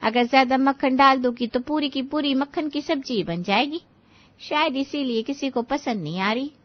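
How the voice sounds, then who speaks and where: A gentle, kindly auntie from an animated family story, acted by a woman. Her voice sits fairly high and she explains things patiently and reassuringly. The audio is slightly dull. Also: moderate pace, lightly acted. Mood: gently explaining. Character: kind older aunt-in-law, gently advising her nephews' wives. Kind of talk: animated moral-story dialogue.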